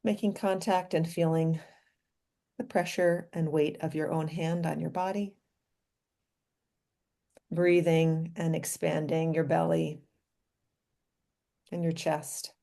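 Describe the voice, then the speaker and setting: A woman guides a meditation in a low, slightly gravelly voice with a soft, mellow tone. She speaks gently and invitingly, leaving space between short phrases. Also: fairly even intonation, slow pace, long pauses. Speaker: doctor leading a guided meditation.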